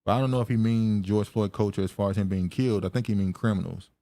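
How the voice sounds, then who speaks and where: A man hosting a casual podcast chat, musing aloud with halting restarts and frequent laughs. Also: on the low side, steady tone, mellow, slight background noise. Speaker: podcast host.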